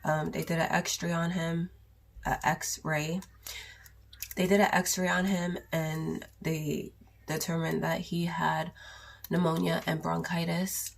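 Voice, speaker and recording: A young woman with a sore throat and cough, chatting casually in a raspy voice through a makeup haul vlog. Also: low-pitched, flat delivery, slow. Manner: chatty, rambling, with many ums and likes. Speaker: beauty vlogger.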